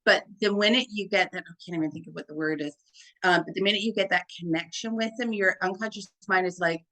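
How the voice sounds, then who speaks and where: A woman with a deep, full voice, talking as a hypnotherapist guest in a casual interview, chatty and full of "like" and "right?". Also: fast talker, pushed and bright. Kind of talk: casual conversation.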